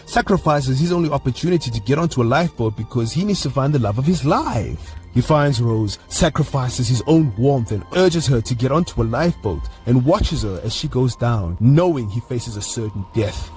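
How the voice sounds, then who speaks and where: A man's voice: medium pitch, lively intonation, normal speed, few pauses, darkish tone, faint music behind. A man narrating a comic bedtime story as a dad, with theatrical, mock-heroic emphasis.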